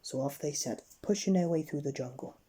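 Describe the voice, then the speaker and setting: A woman reading a bedtime story aloud with expressive, dramatic narration. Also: low voice, a little fast, breathy edge.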